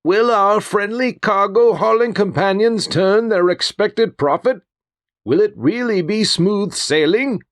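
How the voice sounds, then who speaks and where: A high-voiced man works as a serial announcer in old-time radio style. He is theatrical and teasing, and the sound is dry and close. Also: a little slow, dry recording. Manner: theatrical, teasing rhetorical questions.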